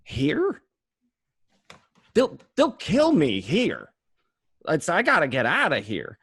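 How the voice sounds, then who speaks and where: A man's voice: on the high side, huge pitch swings, slow, a bit bright, husky, no room sound, exaggerated voice. A man playing a desperate, paranoid small-time gangster, stammering and swearing, in an amateur script read. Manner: stammering, repeating, profane.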